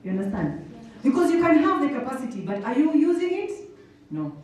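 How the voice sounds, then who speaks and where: High-voiced Kenyan woman in an echoey hall. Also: sing-song, fairly quick, darkish tone, a little hiss or hum. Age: 30s. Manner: emphatic, with rhetorical questions and repetition. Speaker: motivational workshop speaker. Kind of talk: motivational talk to students. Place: indoor workshop hall. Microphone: handheld microphone. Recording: roomy hall, distant, music behind.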